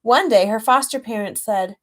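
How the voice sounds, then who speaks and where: A woman reading a children's storybook aloud expressively and gently, with chatty asides to young listeners. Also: fairly high pitch, normal speed. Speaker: children's author reading own book aloud.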